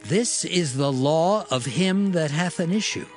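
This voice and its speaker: A man reading scripture from a script as narrator, measured and formal. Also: on the high side, animated. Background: soft music.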